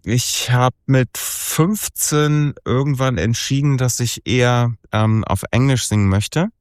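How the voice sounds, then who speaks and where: A man in his forties, a musician talking casually in an interview, relaxed, with lots of fillers and easy laughs. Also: mid-range voice, takes it slowly, smooth, no room sound, studio quality. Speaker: musician guest.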